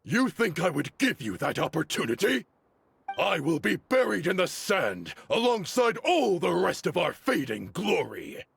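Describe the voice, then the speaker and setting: Gravelly old commander, slow and grave, swings up and down a lot. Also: male voice, high-pitched, very animated, exaggerated voice. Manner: slow and grave, rallying shouts. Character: proud older male commander, defiant to the end.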